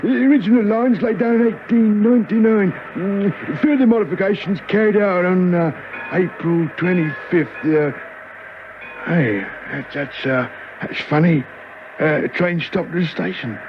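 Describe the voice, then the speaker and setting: An actor playing a fussy, polite old railway enthusiast in a radio drama, hesitant, muttering and pedantic. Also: male voice, sits high, unhurried. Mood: muttering, puzzled. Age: older. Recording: muffled, noisy old radio recording.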